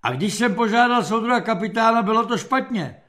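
A man's voice: high-pitched, lively intonation, fairly bright tone, pitched above the performer's own voice. A man voicing a cheeky army private in an audiobook, earnestly mock-obedient and playing innocent. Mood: eager, matter-of-fact. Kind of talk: audiobook character acting.

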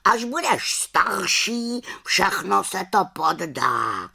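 Woman putting on a high-pitched, nagging talking raven. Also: sing-song, creaky, bright, forceful tone, breathy edge, full character voice. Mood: lecturing. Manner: scolding, exclaiming, squawking delivery. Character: reproachful, preachy talking male raven, wise and nagging. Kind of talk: children's storybook character voice.

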